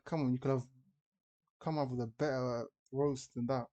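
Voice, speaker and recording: A man's voice: mid-range voice, steady tone, normal speed, heavy background noise. A man giving casual, slangy, exclamatory commentary as a reaction video host.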